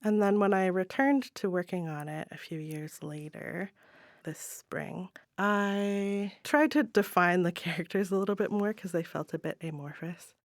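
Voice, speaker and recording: A woman chatting as an interview guest, thoughtful and tentative, often trailing off softly. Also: lowish voice, lively intonation, measured pace, no echo, studio quality. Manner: thoughtful, tentative, hedging with soft trail-offs. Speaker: guest playwright and spoken word poet. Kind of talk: casual interview chat. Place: studio, in person.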